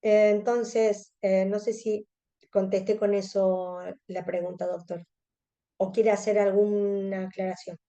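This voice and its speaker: Argentine lady w cordoba accent, soft mellow voice, explaining stuff to colleagues in a webinar. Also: medium pitch, level tone, a little slow. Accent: Cordobese Argentine Spanish. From Córdoba, Argentina. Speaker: presenter, contact lens specialist. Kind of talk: educational webinar lecture.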